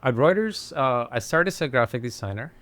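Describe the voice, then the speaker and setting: A Spanish-speaking man from Colombia with a Colombian accent, chatting as a podcast guest in an animated way, laughing and restarting often. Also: mid-range voice, fairly quick, no room sound. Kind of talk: casual conversation.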